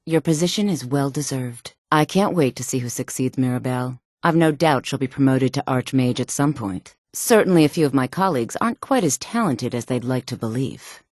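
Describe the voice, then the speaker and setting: A self-assured fantasy game woman with a low, smooth, sultry voice. She speaks with poise and a teasing, condescending air, flirtatious and sure of her own worth. The recording is dry, with no room sound. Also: darkish tone, dry recording. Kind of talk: fantasy video game character dialogue.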